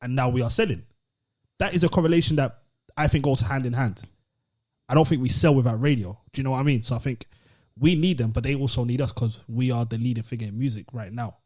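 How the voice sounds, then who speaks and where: A man's voice: medium pitch, fast, a little mellow. A British man co-hosting a podcast, chatting informally in slangy, opinionated style. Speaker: podcast host. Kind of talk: casual chat.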